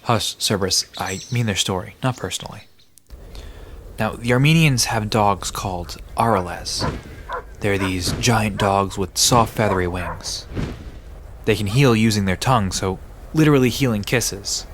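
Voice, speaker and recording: A man's voice: on the low side, measured pace, lightly acted. A man playing a friendly park guide who explains myths conversationally in an audio drama. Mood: friendly, informative. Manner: explanatory storytelling. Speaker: storyteller guide. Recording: background noise with outdoor ambience.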